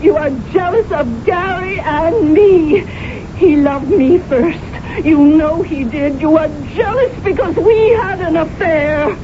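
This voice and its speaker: A woman's voice: very high-pitched, slow. An actress in a radio drama playing a hysterical, jealous trapped woman, stammering and repeating herself in panic. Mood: hysterical, accusing. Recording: muffled old radio broadcast, noisy.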